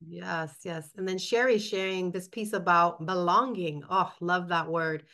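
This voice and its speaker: A woman from New York talks through a webinar on a video call, with a slightly low voice. She reflects on her time as a principal and reads out comments from the chat. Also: steady tone, a little slow, sharp tone. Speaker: webinar co-host, former principal. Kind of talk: conversational webinar discussion.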